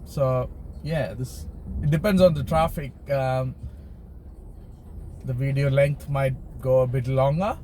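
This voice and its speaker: Slow, halting man chatting over car noise. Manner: halting and hesitant, trailing into pauses. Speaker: driving vlogger. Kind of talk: casual unscripted chat to viewers. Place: inside a car while driving. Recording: noisy car interior with road rumble. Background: steady car and traffic noise.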